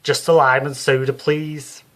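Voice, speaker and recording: A brash female stage psychic with a Liverpool accent, performed by a man. The voice sits high and forceful, full of bossy, showy patter. It belongs to a storybook character who loves working a village hall. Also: flat delivery, measured pace, natural voice. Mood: curt, polite. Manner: loud, bossy, theatrical patter.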